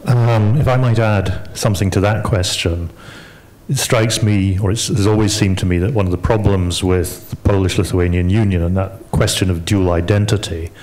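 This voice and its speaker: A man's voice: on the low side, a little mellow. A man from Scotland, a historian speaking on an academic panel in a lecturing, digressive, emphatic way.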